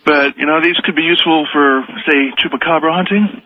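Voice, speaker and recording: A man from Alabama calls in to a radio show. His voice sits a little high and he talks casually, heard through a dull telephone line. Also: fairly quick, no echo. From Alabama, United States. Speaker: phone-in caller. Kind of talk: casual radio call chat.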